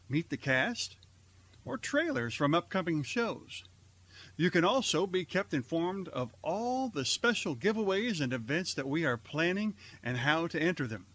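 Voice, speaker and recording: A man's voice: on the high side, sing-song, measured pace, fairly bright tone. A man hosting an online radio theater show, giving a scripted introduction. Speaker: host and announcer of an amateur online radio theater.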